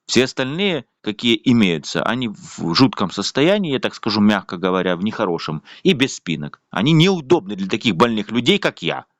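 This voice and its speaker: Man, indignant rambling complaint, lively up-and-down pitch, dry close sound. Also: brisk, hardly any pauses, dry recording. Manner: indignant, rambling, with frequent fillers. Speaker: vlogger. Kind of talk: casual complaint monologue to camera.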